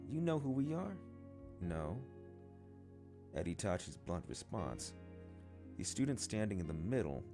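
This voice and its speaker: A man reading a novel aloud as a scripted narration. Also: low-pitched. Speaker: amateur story reader. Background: guitar music.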